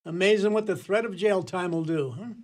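A man acts out a detective's witty sidekick in a radio comedy. The voice is notably high for a man, arch, sly and playfully teasing. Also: a little fast, a little mellow, natural voice. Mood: teasing, smug. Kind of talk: comedy whodunit radio play acting.

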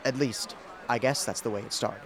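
A man playing an easygoing bartender in a radio comedy, telling a yarn in a folksy, unhurried way. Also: medium pitch, steady tone, crowd noise, lightly acted. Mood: storytelling. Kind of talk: radio comedy play acting.

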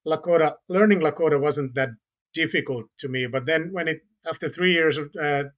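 Finnish man, guest on a podcast, halting careful phrasing, fairly high voice. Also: a bit bright. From Finland. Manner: careful, halting, with many restarts. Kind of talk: casual podcast conversation.

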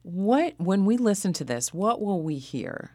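A man's voice: sits high, sing-song, a little slow, warm tone, no room sound. A man hosting a radio interview, asking questions in a conversational style.

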